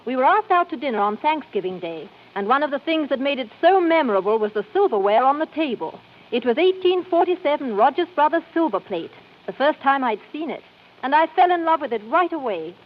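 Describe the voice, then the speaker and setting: A woman giving a scripted 1940s radio testimonial in a genteel, measured manner. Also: high-pitched, sing-song. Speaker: commercial spokeswoman. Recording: dull old radio recording.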